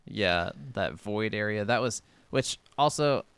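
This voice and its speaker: A man's voice: lowish voice, lively intonation, a little slow, fairly bright tone, clear, resonant tone, dry recording. A man talking casually as a co-host of a video game podcast. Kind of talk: casual chat.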